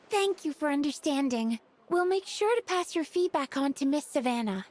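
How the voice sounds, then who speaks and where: A polite young kitchen worker in a game, high-voiced and hesitant. She speaks gently and apologetically, with faint ambient sound behind her. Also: animated, unhurried, slight background noise. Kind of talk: video game voice acting.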